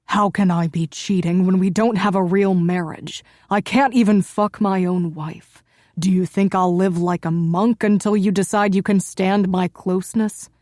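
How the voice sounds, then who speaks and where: A woman narrator voicing an angry, possessive young husband in an audiobook, speaking in a low, menacing way. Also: steady tone, normal speed, darkish tone, no room sound, studio quality, natural voice. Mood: cold anger. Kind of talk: audiobook character voice.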